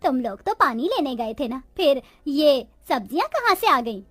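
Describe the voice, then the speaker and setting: Poor village housewife for a cartoon moral tale, played by a woman: high voice, dramatic, complaining, close dry recording. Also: big pitch swings, normal speed, a bit bright, no echo, soft background music. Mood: indignant. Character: village women of a poor family, resourceful daughters-in-law and their mother-in-law. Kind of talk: Hindi cartoon moral-story dialogue.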